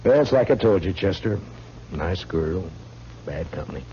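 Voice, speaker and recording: An actor playing a grim, laconic frontier marshal in a 1950s radio western, speaking in a dry, deliberate way on an old recording. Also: male voice, low voice, animated, warm tone, lo-fi sound, slight background noise. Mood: grim, resolute.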